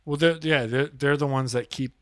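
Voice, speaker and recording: A man's voice: medium pitch, steady tone, moderate pace, a bit bright, no room sound. A man co-hosting a podcast in casual chat, laid-back and agreeable, with hesitant restarts. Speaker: podcast co-host.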